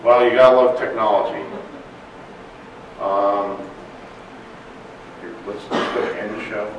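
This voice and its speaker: A man giving a technical conference presentation in a large room, speaking loudly and plainly. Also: on the low side, steady tone, takes it slowly, background noise. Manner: loud, plain-spoken, apologetic about slides. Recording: very echoey room, distant mic.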